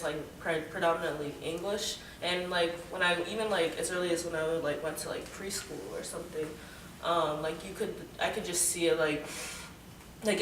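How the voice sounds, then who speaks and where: A man's voice: a little high, a bit bright. A man talking reflectively and hesitantly in a casual group discussion, with many fillers. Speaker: student panelist. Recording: echoey room, mic far off, background noise.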